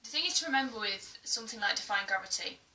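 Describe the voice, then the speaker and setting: A woman's voice: mid-range voice, animated, fast talker, pushed and bright. A British woman giving an informal singing tutorial, chatty and a little hesitant, with a self-deprecating way of talking. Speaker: singing teacher. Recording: echoey room, distant mic, music behind.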